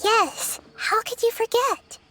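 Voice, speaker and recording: A woman voicing a gentle, wise, childlike nature goddess in a video game, thoughtful and articulate. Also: very high-pitched, slow, music in the background.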